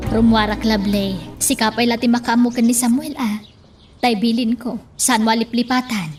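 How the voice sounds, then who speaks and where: A woman's voice: on the high side, fairly even intonation, normal speed, distant mic, over music, close to an everyday voice. A woman playing a stern mother. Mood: firm. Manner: firm, instructing. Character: stern mother figure, giving firm orders.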